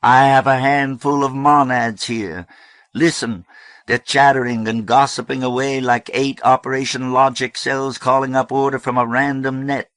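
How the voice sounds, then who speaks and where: A man voicing a fiery woman preacher in an audiobook, orating in a forceful, declamatory way. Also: mid-range voice, level tone, normal speed, dry recording, pitched above the performer's own voice, close to an everyday voice. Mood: impassioned. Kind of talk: audiobook character voice.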